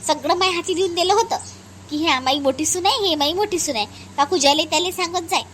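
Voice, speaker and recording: A woman's voice: very high voice, fairly quick, fairly bright tone, a little hiss or hum. A woman voicing an agreeable eldest daughter-in-law in a family cartoon, chatting in colloquial Varhadi Marathi with a gossipy manner. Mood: chatty, pleased. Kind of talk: cartoon family drama dialogue.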